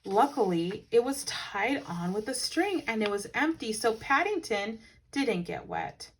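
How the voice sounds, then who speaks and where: A woman reading a children's picture book aloud as a teacher, with animated, dramatic emphasis. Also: a little high, measured pace, slight background noise, low-quality recording. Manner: animated, dramatic emphasis with hushed asides to listeners.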